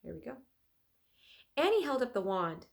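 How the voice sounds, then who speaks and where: A woman teacher reading a children's story aloud in an expressive, deliberate manner. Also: fairly high pitch. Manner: deliberate, clearly phrased, animated.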